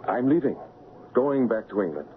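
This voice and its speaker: An actor playing an honorable, lovesick stand-in king in a radio drama, speaking and narrating in an earnest, formal, measured way. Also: mid-range voice, sing-song, a little hiss or hum, lightly acted. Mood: anguished. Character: lovesick honorable English royal stand-in, noble and troubled. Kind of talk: radio drama acting and first-person narration. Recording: muffled vintage radio broadcast, music behind.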